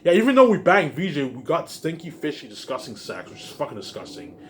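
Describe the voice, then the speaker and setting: A man's voice: a little high, wildly swooping pitch, fairly quick. A man rambling casually to viewers in an emphatic, repetitive, profane way, leaning on the same verbal tags. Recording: faint laundry-machine background noise.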